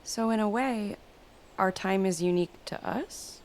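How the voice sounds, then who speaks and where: A woman's voice: medium pitch, a little slow, very noisy, close to an everyday voice. A woman acting an inquisitive, impatient young daughter in a stage play, blunt and full of questions. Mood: curious.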